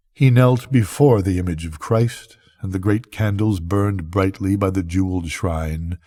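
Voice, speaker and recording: A man's voice: sits low, mellow, no room sound, clean studio sound. An older man reading a bedtime story aloud as a storyteller.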